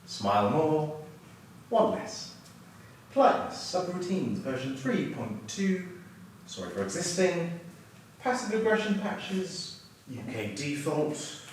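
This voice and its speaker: Male actor as officious computer announcer, slow delivery, higher-pitched, deadpan, distant in a noisy room. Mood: flat, officious. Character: deadpan, officious simulator computer system named Brenda. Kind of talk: staged reading, robotic system announcements. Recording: echoey room, distant mic, background noise.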